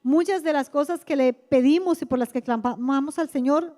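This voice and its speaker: A woman's voice: high voice, normal speed, mellow. A woman interpreting a church service phrase by phrase, repeating each line after the speaker. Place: large church hall.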